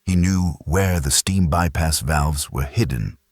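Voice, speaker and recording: A man's voice: extremely low pitch, a little slow, darkish tone, dry recording, studio quality. A man reading dramatic science fiction as an audiobook narrator, with grave, intense storytelling delivery. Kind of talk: dramatic scripted sci-fi narration.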